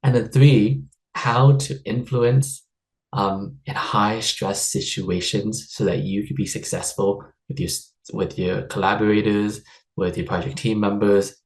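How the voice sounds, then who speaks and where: A man with a deep, low-pitched voice coaches a group through a live online workshop. He talks in a chatty, upbeat way, with plenty of ums and easy laughs. The sound comes through a video-call mic. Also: steady tone, measured pace, low-quality recording. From United States (Seattle area). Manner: upbeat, chatty, laughs often, many fillers. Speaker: stress and wellbeing coach leading a workshop.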